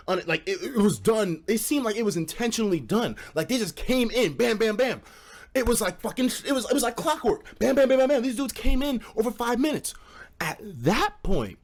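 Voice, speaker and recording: A man streaming casual, animated stories to a live chat, with slangy, profane phrasing and dramatic emphasis. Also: sits high. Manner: profane, slangy, acts out quoted dialogue; dramatic emphasis and repetition for suspense.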